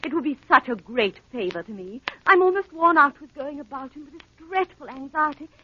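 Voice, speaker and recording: Old radio drama: anxious society lady, animated, fluttery pleading, muffled broadcast. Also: high-pitched, brisk, no room sound. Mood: flustered, pleading. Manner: fluttery, insistent, repetitive. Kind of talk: 1930s radio drama acting.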